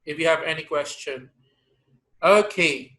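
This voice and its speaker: Slow, bright-voiced man teaching grammar. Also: a little high, rough recording. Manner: slow, drills verb forms pronoun by pronoun. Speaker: English teacher. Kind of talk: online grammar lesson.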